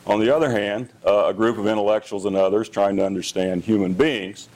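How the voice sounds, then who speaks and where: A middle-aged man with a loud voice giving a public lecture as an engineering dean, pausing often with fillers and restarts. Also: fairly low pitch, steady tone. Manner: hesitant, many fillers and restarts. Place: lecture hall.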